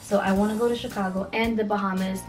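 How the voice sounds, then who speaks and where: A 29-year-old woman from Kenya chatting casually as a vlogger, in a playful, emphatic and animated conversational way. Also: mid-range voice, quick pace, soft background music.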